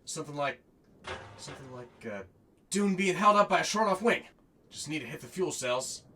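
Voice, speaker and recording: A man's voice: fairly high pitch, lively intonation, moderate pace, heavy background noise, natural voice. A man playing a weary, wry desert courier who narrates his own adventure in an audio drama. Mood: panicked. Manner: wry, self-talking. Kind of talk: first-person narration and amateur audio drama acting.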